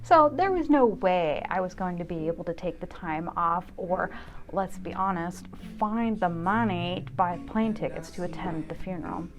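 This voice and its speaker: A woman's voice: on the low side, moderate pace. A woman in her fifties hosting a solo show, narrating a scripted personal essay in a wry, candid manner. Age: 50s.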